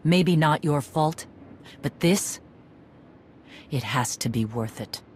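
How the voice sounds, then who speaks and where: A stern female clan leader from a video game. Her voice is very low for a woman, and she speaks in terse, proud commands over faint background noise. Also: animated, slow pace, a little mellow. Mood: stern, commanding. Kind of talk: scripted video game acting.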